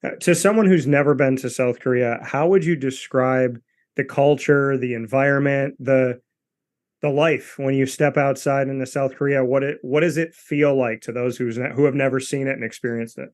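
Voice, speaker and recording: A man's voice: medium pitch, steady tone, normal speed. Male host with long rambling questions. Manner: long winding questions with restarts. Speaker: podcast host, interviewer. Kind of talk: interviewer asking questions.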